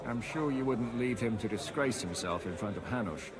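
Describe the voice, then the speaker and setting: A man's voice: fairly low pitch, normal speed, natural voice. Blunt medieval commander played by a man, crowd behind. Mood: sardonic, frank. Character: plain-spoken, cynical medieval military commander. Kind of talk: dramatic video game voice acting.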